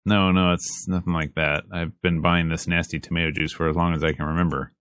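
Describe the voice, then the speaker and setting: A man's voice: low-pitched, flat delivery, a little fast, fairly bright tone, dry recording, clean studio sound. A man reading a visual novel aloud in a casual, slangy let's play style, moving between narration and dialogue.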